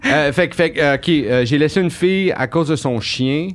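A man's voice: a little high, normal speed. A young man with a Québécois French accent, a podcast guest telling a story in casual chat, full of fillers and restarts. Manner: rambling, lots of restarts and fillers.

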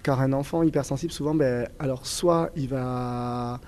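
A young man in his twenties with a southern French accent, answering questions in a relaxed interview. His voice is mellow and mid-pitched, and he talks haltingly, with frequent fillers and restarts. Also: slight background noise. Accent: southern French, Toulouse area. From southern France. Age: 20s. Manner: hesitant, many fillers and restarts. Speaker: guest. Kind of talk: casual interview conversation.

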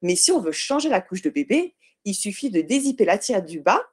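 Woman, podcast guest, earnest and explanatory, pauses and corrects herself, mid-pitched voice. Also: very animated, a little mellow, breathy edge. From Portuguese family. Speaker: guest, baby clothing brand founder. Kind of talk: podcast interview.

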